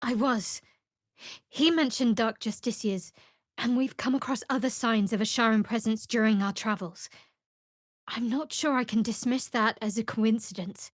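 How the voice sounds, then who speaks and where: A woman playing a guarded, sardonic young woman cleric of a dark goddess in a video game, with a dry manner. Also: on the high side, normal speed, no room sound, lightly acted. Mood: measured, wry. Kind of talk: video game character voice acting.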